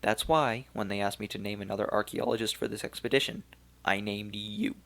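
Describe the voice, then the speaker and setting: A man voicing an elderly, weary archaeologist of Turco-German origin, speaking slowly and regretfully in a gravelly voice. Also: lowish voice, a bit bright. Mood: gently doubtful. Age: older.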